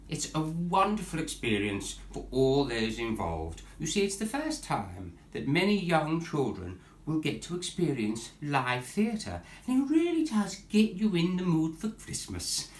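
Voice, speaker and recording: A woman's voice: a bass voice, full character voice. A woman playing a vain, grandiose pantomime dame giving a theatrical mock interview in an echoey room. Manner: grandiose, self-admiring.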